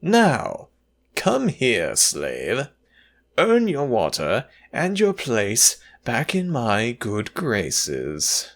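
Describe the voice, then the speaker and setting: A man playing a cruel, gloating slave master in an ASMR audio drama, taunting and condescending with drawn-out, emphatic phrasing. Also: medium pitch, huge pitch swings, heavily acted. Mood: commanding. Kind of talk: ASMR audio roleplay, theatrical villain monologue.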